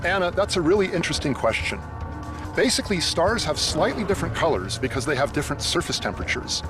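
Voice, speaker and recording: A man's voice: on the high side, sing-song, fast talker. A man explaining things didactically, as an expert answering questions in a documentary. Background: background music.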